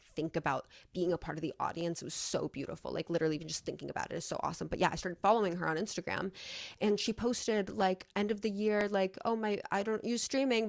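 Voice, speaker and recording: A woman's voice: lowish voice, creaky, dry recording. A young American woman rambling casually as a vlogger, effusive and sweary in how she talks. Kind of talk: casual rambling chat to camera.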